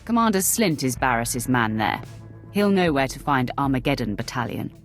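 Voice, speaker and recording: A woman's voice: very deep voice, big pitch swings, normal speed, soft background music. An actress playing a composed, steely female Sith warrior in a video game, controlled, formal and confident. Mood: composed, assured. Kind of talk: video game dialogue acting.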